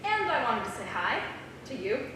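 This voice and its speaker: Echoey, higher-voiced woman acting a bookish young student. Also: big pitch swings, normal speed. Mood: teasing. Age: young adult. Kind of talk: staged script reading of a play. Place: small theater stage. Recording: very echoey, noisy theater, distant mic.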